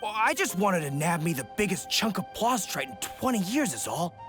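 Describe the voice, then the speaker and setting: Bumbling, animated wannabe thief. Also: male voice, sits high, normal speed, music in the background. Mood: boastful, naive. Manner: folksy rustic phrasing, hesitant, stammering. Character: bumbling young male wannabe thief, folksy and hapless. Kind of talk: video game voice acting.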